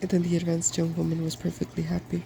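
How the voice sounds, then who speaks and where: A soft-spoken man reading a fairy tale aloud in ASMR style, in a gentle, measured storytelling manner. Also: a little high, completely flat delivery, vocal fry, a little hiss or hum. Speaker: storybook reader.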